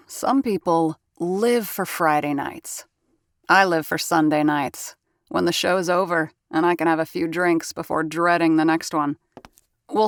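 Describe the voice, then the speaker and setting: An actress playing a weary, wisecracking sound effects artist who narrates with dry humor in an audio drama. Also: female voice, on the low side, no room sound.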